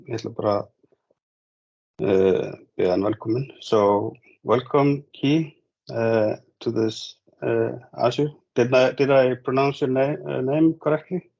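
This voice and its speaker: A man with an Icelandic accent, a native Icelandic speaker, informally hosting an online meetup and pausing hesitantly as he talks. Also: mid-range voice, vocal fry, a little mellow. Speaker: host introducing a speaker. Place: online video meeting. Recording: muffled online call audio.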